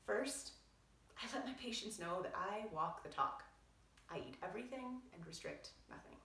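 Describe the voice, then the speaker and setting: A woman's voice: mid-range voice, expressive, moderate pace, pushed and bright. Roomy, distant-sounding woman reading aloud. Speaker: doctor reading aloud from own book. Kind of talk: read-aloud narration with brief asides. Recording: roomy echo, distant from mic.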